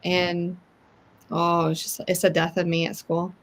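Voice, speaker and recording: Calm, reassuring woman in a chat. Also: lowish voice, a little slow. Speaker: teacher and co-host. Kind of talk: casual chat.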